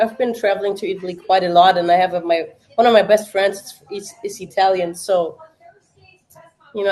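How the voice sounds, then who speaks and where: A woman's voice: mid-range voice, a little mellow, rough recording. Chatty German-accented woman streaming. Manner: chatty, many fillers, answers chat. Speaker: livestream watch-party host. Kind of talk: casual livestream chat.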